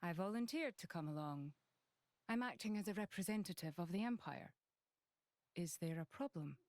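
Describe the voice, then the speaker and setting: A woman's voice: fairly low pitch, lively intonation, moderate pace, darkish tone, no echo, studio quality, lightly acted. A woman playing a dignified, reserved imperial officer in a video game, composed and authoritative. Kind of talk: video game cutscene acting.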